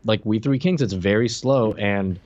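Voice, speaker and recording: A man's voice: fairly low pitch, moderate pace, a little mellow, dry recording, muffled recording. A man in his thirties co-hosting a casual review chat in a joking, irreverent way. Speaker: podcast co-host. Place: dim room at home, video call.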